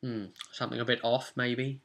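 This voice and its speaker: A man's voice: medium pitch, little pitch movement, moderate pace, gravelly, a bit bright. A young man casually recapping a tabletop role-playing session as game master. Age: young adult. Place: small furnished room at home.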